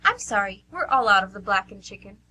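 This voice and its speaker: An actress playing a polite, obliging waitress with a husky voice in an amateur radio comedy. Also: female voice, medium pitch, big pitch swings, a little fast, sharp tone, low-quality recording. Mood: apologetic. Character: polite, apologetic, obliging young-sounding restaurant waitress.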